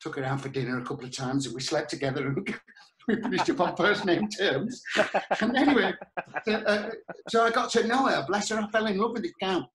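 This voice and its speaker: A man with a Northern English accent, telling comic anecdotes in an animated, chatty, earthy and colloquial way as a podcast guest. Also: high-pitched, brisk. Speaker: podcast guest, pantomime performer. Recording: roomy, echoey sound.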